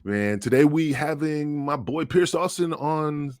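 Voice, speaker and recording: A man's voice: a little high, expressive, a little slow, darkish tone, no echo, clean studio sound. A man from the United States hosting a casual podcast, talking loosely with lots of fillers and hyping up his guest. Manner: hype-man intro, loose and chatty with many fillers. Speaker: podcast host, former wrestler.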